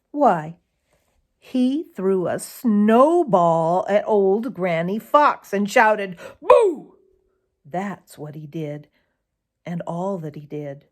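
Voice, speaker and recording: Slow, expressive woman reading a storybook. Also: on the low side. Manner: deliberate, dramatic, addresses young listeners with questions. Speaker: storybook reader. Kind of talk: expressive children's story narration.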